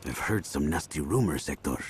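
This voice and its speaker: A man's voice: very deep voice, animated, a little fast, a little hiss or hum. A man acting a coolly disapproving, skeptical cartel associate in a video game.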